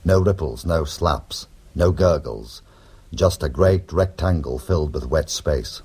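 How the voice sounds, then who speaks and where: An actor playing a wry, cheeky criminal in a radio drama, who also narrates with sardonic, glib humour. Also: male voice, extremely low pitch, expressive, unhurried, a little mellow, husky, heavily acted. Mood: wry, atmospheric.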